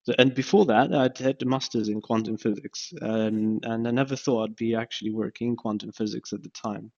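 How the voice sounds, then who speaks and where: A man's voice: medium pitch, fairly even intonation, brisk, creaky, darkish tone. A man from Ukraine, a startup founder interviewed as a guest, speaking casually and hesitantly with many fillers and restarts. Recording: dull lo-fi podcast audio.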